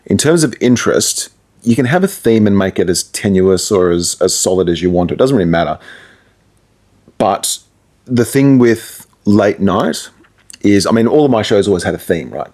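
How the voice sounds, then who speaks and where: A man's voice: deep voice, a little mellow. A middle-aged man, a radio presenter, giving casual, conversational advice in an interview.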